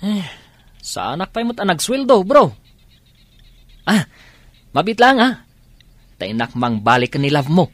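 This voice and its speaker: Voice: medium pitch, big pitch swings, slow pace, long pauses, dry recording. An actor playing a hesitant friend pressed about money in an Ilocano radio drama. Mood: hesitant, teasing. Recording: music bed under dialogue.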